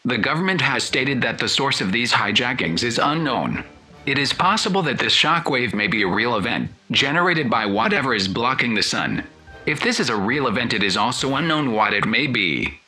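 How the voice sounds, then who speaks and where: A man's voice: medium pitch, sing-song, moderate pace, bright, forceful tone, soft background music. A man playing a television news anchor, reading a scripted special report in a formal, matter-of-fact way. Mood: serious, matter-of-fact.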